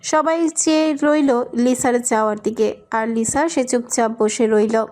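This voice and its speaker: A woman's voice: a little high, a little slow, a little mellow. A woman narrating a scripted romantic story.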